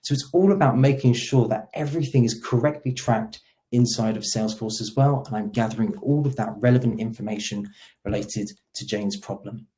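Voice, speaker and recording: A man giving a conversational, explanatory walkthrough of software as a product demo presenter. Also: mid-range voice, a little fast. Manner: explanatory, role-plays agent dialogue.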